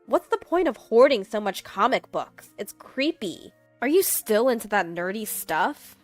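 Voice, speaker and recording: A woman's voice: sing-song, measured pace, dry recording, soft background music. A woman voicing a mean, snide younger sister who mocks and bosses her sibling. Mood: mocking. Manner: mocking and bossy, shrill when upset.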